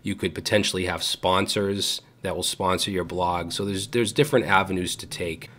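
Low-voiced Boston man talking casually. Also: normal speed. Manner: relaxed, conversational, frequent fillers and restarts. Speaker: YouTube coding educator. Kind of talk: casual talk to camera. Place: home studio room with gaming chair.

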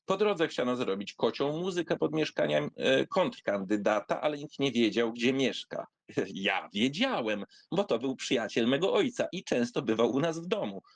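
A man reading a literary memoir aloud expressively, in a wry storytelling manner with dramatic pauses. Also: medium pitch, expressive, no echo. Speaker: audiobook reader.

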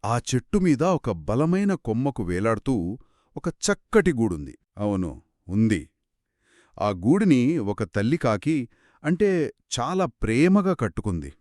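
A man telling a children's story in a conversational, expressive way, with exclamations and short questions. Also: mid-range voice, unhurried, no echo, studio quality.

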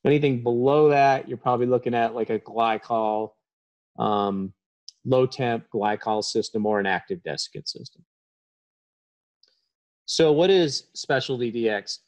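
A man's voice: medium pitch, measured pace, pauses often, a little mellow. A man in his thirties giving a technical presentation as an HVAC trainer, talking plainly with frequent ums, recorded over a webcam.

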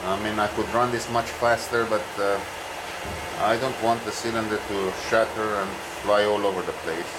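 Low-voiced man over a running lathe. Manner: relaxed, halting, many filler pauses. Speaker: hobbyist machinist. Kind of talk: casual workshop demonstration. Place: home workshop with running lathe. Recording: loud machine noise behind.

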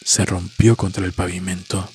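Low-voiced man narrating horror. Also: flat delivery, moderate pace, a little mellow, a little hiss or hum. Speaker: storyteller, narrator. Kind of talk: scripted horror story narration. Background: background music.

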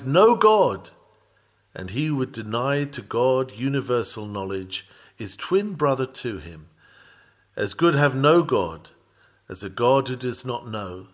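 A man with a medium-pitched voice reads a historical sermon from a script. His delivery is formal and oratorical. He moves through the phrases in a deliberate, measured way. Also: expressive.

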